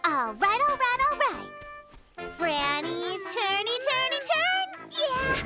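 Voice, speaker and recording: A small, friendly bear cub in a children's cartoon. The voice is very high and unhurried, patient and encouraging as it explains. Loud children's music runs underneath. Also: big pitch swings, full character voice. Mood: cheering. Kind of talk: children's animated cartoon acting.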